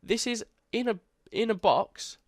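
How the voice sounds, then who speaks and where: A young man with a stuffy, congested voice walks viewers through a beginner coding lesson in a loose, chatty way, often restarting his phrases. His voice sits a little high for a man, and the recording is dry and close with no room sound. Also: measured pace, no room sound. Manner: casual, chatty, self-correcting. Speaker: coding tutor. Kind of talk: screen-recorded coding tutorial.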